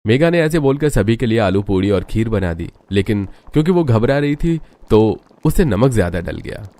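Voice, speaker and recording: A man's voice: on the low side, animated, normal speed, dark tone. A man reading scripted narration for a cartoon family story.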